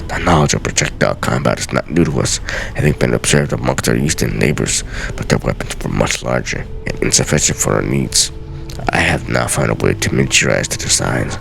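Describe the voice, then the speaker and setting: Man voicing an extremely deep, gravelly aged assassin. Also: fairly bright tone, faint music behind, heavily acted, low-quality recording. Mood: measured, proud. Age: older. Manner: grave, measured, theatrical dramatic reading. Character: aged master assassin writing solemn, weary journal entries.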